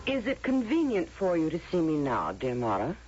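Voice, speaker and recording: An actress playing a composed, controlling rest-home attendant in a vintage radio drama, formal and gently coaxing. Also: female voice, sits low, wildly swooping pitch, normal speed, a little hiss or hum. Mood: soothing, controlling. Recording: dull vintage radio recording.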